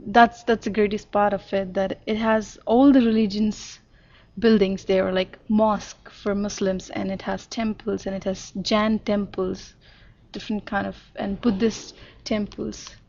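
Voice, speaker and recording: Indian woman interviewee, talkative and explanatory, monotone delivery on a muffled recording. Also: medium pitch, a little slow, gravelly. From northern India. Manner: chatty, explains at length with fillers. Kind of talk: casual conversational answers.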